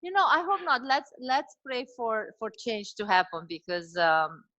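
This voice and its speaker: A woman's voice: on the high side, measured pace, dull audio. A woman in her forties from Romania with a Romanian accent, talking as a dietitian guest in a casual interview, with many fillers and restarts. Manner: warm, animated, frequent fillers and restarts.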